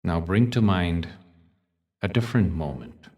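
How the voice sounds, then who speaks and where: A man leading a guided meditation in a soothing, measured way, leaving long pauses between short phrases. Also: sits low, animated, a little mellow, recorded from a distance. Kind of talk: guided meditation with spoken teaching.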